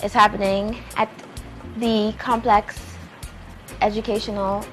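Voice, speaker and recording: Woman chatting, medium pitch, over music. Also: little pitch movement, unhurried. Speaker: playwright and director, talk show guest. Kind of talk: casual interview conversation.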